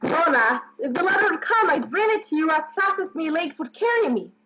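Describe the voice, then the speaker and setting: Woman playing high-voiced rustic village postman. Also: sing-song, fairly quick, very noisy. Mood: folksy, apologetic. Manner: folksy dialect, emphatic tag phrases. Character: Gilly, plain-spoken rustic village postman. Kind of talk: radio play acting.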